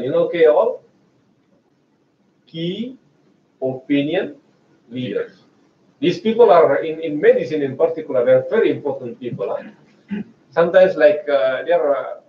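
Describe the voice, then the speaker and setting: Indonesian-accented male lecturer, 40s, slightly raised pitch, distant mic in a reverberant room. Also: muffled recording. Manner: chatty, hesitant restarts, frequent laughs. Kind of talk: research seminar lecture.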